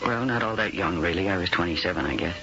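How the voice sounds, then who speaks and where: Middle-aged female screen star, low husky voice, anxious and wistful, halting dramatic radio play delivery, dull vintage broadcast, music behind. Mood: wistful, nostalgic. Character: world-weary, anxious female film star and nightclub singer. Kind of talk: 1970s radio drama acting.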